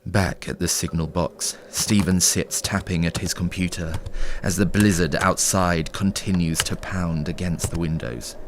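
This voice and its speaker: A man narrating a scripted horror story in a measured, descriptive way over loud storm ambience. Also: sits low, fairly even intonation. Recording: heavy noise behind the voice.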